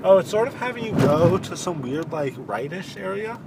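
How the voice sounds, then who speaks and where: A young man with a slightly high, lively voice chats casually and gives directions. He sounds far from the mic, with loud car noise over the recording. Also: moderate pace. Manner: chatty, giving directions. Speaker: podcast co-host, passenger. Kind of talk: casual chat. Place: inside a moving car.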